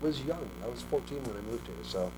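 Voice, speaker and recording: A man's voice: mid-range voice, fairly quick. A man in his forties, born in Australia, talking casually and at length as an author guest in a television interview. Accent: American English, faint Australian trace lost. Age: 40s. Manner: relaxed, talks in long loose sentences with restarts. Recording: mains hum under a local TV studio recording.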